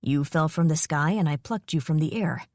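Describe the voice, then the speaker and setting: A woman voicing a male dragon speaking slowly and formally to his mate, in a dry, close recording. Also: deep voice, no echo, voice pushed down. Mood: tender. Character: male dragon, possessive mate, calm and solemn.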